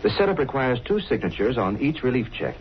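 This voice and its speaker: An earnest, formal gentleman, played by a man, speaks with animated rises and falls and careful, precise wording. He sounds worried, in classic radio drama style. The old recording is dull with faint hiss. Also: mid-range voice, fairly quick, close to an everyday voice. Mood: earnest, uneasy. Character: earnest, precise, worried volunteer charity treasurer. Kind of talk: 1930s-40s radio drama acting. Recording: muffled, hissy vintage radio recording.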